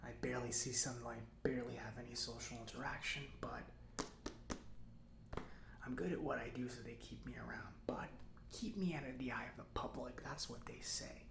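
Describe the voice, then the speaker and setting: A man playing a friendly, nerdy, chatty robot mechanic in a soft-spoken ASMR roleplay, breathy and rambling with casual hesitations. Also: mid-range voice, steady tone, normal speed, reverberant, noisy background, natural voice. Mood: resigned, confiding. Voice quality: soft, breathy, often near whisper. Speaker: repair shop mechanic character.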